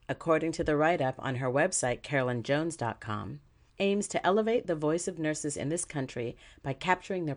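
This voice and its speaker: A woman co-hosting a podcast, reading a scripted introduction and then chatting with a guest, upbeat and quick to laugh. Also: low-pitched. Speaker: podcast co-host, not a nurse.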